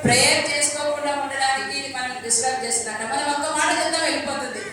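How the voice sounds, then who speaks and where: A woman's voice: high-pitched, steady tone, rapid-fire, pushed and bright. A woman presenting a children's puppet show, instructive and repeating phrases for the children. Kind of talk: lively scolding and prompting of puppets and children. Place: large hall at a church Bible school. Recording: very echoey hall, far mic, crowd noise.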